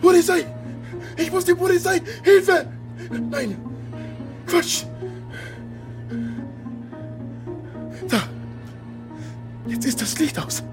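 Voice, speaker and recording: A man's voice: very high voice, lively intonation, slow, pauses often, over music, exaggerated voice. A man acting in a German radio drama as an anxious young Ghanaian man living in hiding, breathless and muttering to himself. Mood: panicked.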